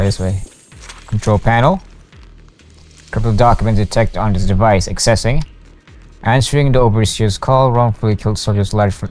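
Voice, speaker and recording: A man doing casual game stream commentary, muttering short reactions and haltingly reading on-screen text aloud. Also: lowish voice, darkish tone. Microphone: streamer microphone over game audio. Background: faint game music.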